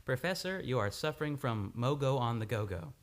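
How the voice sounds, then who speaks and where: An actor playing a charming but unstable doctor with dramatic intensity in an old-time radio play. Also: male voice, mid-range voice, animated, a little fast, close to an everyday voice. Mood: teasing, charming. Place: radio studio.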